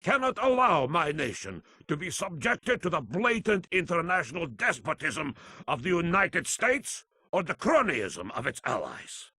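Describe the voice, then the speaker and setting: An actor playing a defiant fugitive leader delivering a grandiose, threatening political speech in a game. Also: male voice, fairly high pitch, wildly swooping pitch, measured pace, pushed and bright, breathy edge, no room sound, exaggerated voice. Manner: grandiose, declamatory.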